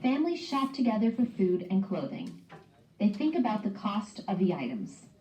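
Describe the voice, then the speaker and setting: A woman's voice: mid-range voice, very animated, normal speed, warm tone, distant mic. A woman reading scripted educational narration for children, in the manner of a read-aloud lesson. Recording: dull, echoey playback from off mic, faint noise.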